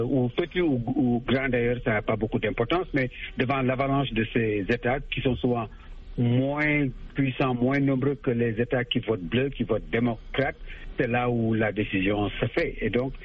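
A man of middle pitch talks through a dull, muffled line, sounding lo-fi. He explains things patiently as a radio guest, pausing often to hesitate and restart his sentences. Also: slightly rough. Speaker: political analyst guest.

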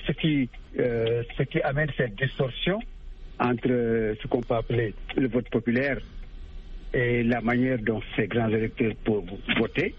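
A man's voice: mid-range voice, fairly even intonation, unhurried, breathy edge. Man guest explaining with many hesitations, muffled lo-fi line. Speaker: political analyst guest. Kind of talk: explanatory radio commentary.

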